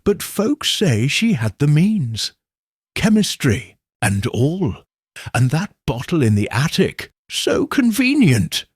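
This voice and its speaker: A man's voice: a little high, huge pitch swings, slow, husky, no room sound, clean studio sound, pitched above the performer's own voice, heavily acted. A man voicing a gossipy village grocer's wife in an audiobook, conspiratorial and insinuating. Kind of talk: audiobook character reading.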